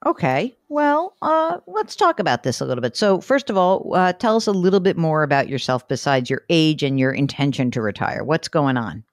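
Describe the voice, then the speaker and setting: A woman with a New York accent hosting a call-in advice show, brisk and chatty, asking quick follow-up questions. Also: deep voice, sing-song, no echo, clean studio sound. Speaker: host.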